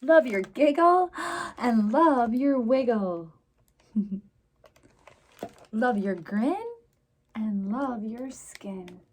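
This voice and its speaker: Woman, high pitch, slow pacing, children's story time host, sing-song encouraging read-aloud delivery. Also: expressive, a little mellow. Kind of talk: children's story time, reading a picture book aloud and coaxing young listeners. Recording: clear background noise.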